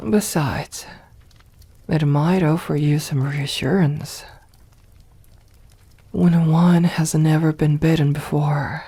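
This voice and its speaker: A woman voicing a seductive, possessive vampire mistress in an ASMR roleplay, speaking formally and coaxingly in a breathy, often whispered voice. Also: deep voice, mellow, full character voice. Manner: slow, formal, coaxing endearments.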